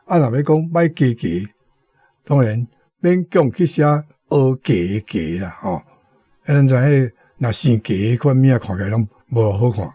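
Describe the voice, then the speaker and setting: Dark, husky Taiwanese man explaining. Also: medium pitch, animated, measured pace, no room sound. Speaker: guest commentator on language. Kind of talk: explanatory commentary.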